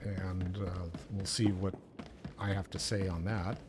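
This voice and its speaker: A man's voice: low-pitched, flat delivery, unhurried. A man chatting casually as he plays a video game on a live stream, narrating what he does. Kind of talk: casual live gameplay chat. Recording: stream with clear background noise.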